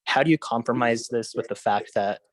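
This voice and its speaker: A man's voice: mid-range voice, fairly quick, dry recording. A young man in the audience politely asking a question, with frequent hesitations. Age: young adult. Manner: polite, hesitant, many ums.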